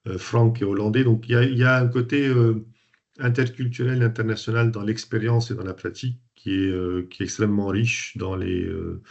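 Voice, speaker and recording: A man from Lebanon with a fairly low voice presents a training program to an online group. He talks loosely, with many fillers and restarts. The sound is dull and lo-fi, as on a video call. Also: darkish tone. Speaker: coach and trainer hosting a webinar.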